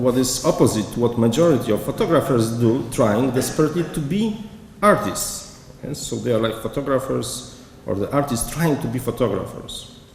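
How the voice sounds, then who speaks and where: A man, a curator giving a conference lecture from a prepared paper, speaking haltingly with many pauses. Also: medium pitch, darkish tone. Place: lecture hall. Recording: echoey hall, faint background noise.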